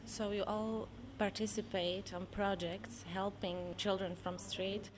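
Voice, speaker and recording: Female interviewer, non-native English, mid-pitched voice, faint noise behind. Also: flat delivery, slow pace. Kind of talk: interview questions.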